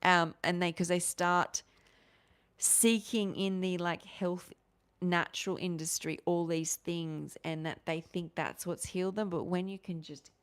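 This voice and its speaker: A woman in her forties hosting a casual livestream, talking in a rambling, animated way with many restarts. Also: lowish voice, unhurried, clear, resonant tone. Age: 40s. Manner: rambling, animated, restarts often, gestures with hands. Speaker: livestream host. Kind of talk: casual livestream chat answering viewers.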